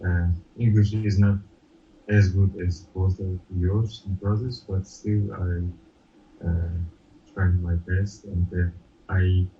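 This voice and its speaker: A young man speaks English in a very low, flat and unhurried voice, pausing often as he searches for his words. The tone is dark and mellow. The sound is dull and distant, with faint noise behind it. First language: Russian. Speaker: interview guest. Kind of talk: casual video-call conversation.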